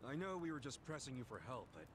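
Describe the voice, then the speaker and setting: An actor playing a big-hearted, stubbornly loyal middle-aged ex-convict in a video game drama, speaking in an earnest, informal, rough-edged way. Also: male voice, medium pitch, very animated, fast, noisy background, natural voice. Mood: upbeat. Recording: game music behind the voice.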